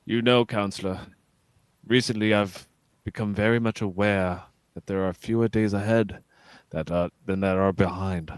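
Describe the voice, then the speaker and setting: Dignified ageing captain, sci-fi radio play, male actor. Low, formal, reflective, dry close recording. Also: steady tone, normal speed, clean tone, no echo, lightly acted. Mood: melancholy. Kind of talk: live script read, sci-fi radio theatre.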